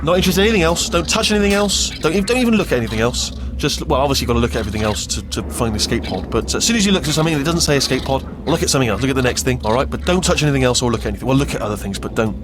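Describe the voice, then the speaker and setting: A man's voice: medium pitch, expressive, racing, few pauses, background noise, close to an everyday voice. A British man playing a nervous, chatty, bumbling little robot sidekick in a comic video game, rambling and stammering anxiously. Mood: fussy instructing. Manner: rambling, stammering, self-correcting, anxious babble.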